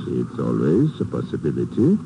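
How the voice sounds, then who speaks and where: A man's voice: rumbling low, huge pitch swings, normal speed, gravelly, exaggerated voice. A man playing a cold, ruthless pirate submarine commander in 1950s radio drama style on a noisy old recording. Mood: cold, menacing.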